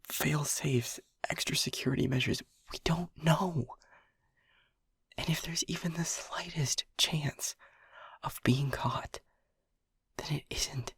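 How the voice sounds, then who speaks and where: A man voicing an anxious, devoted technician lover who whispers his pleas in a husky, creaky voice and stammers as he talks. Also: medium pitch, no room sound, full character voice. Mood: pleading. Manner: hesitant and stammering, pleading. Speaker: audio roleplay performer. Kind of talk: intimate whispered audio roleplay.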